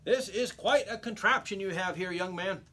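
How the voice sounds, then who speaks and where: Man putting on an excitable old lady, high-pitched. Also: normal speed, a little hiss or hum. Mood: delighted. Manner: exclaiming, emphatic. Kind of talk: children's storybook read-aloud.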